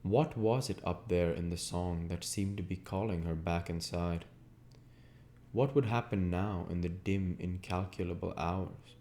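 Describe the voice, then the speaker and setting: A man's voice: deep voice, unhurried, mellow. A man narrating literary fiction as an audiobook reader, in a scripted style.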